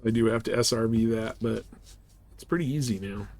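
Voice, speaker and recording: A man's voice: on the low side, a little fast, a little mellow. A man livestreaming a video game with casual commentary, rambling a little as he thinks aloud. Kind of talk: casual live gameplay commentary.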